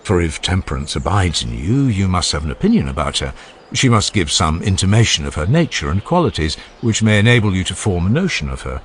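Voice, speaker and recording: A man playing an older philosopher who questions patiently and courteously in formal, measured phrases. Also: low voice, sing-song, a little hiss or hum. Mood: patient, inviting. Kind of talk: dramatized philosophical dialogue.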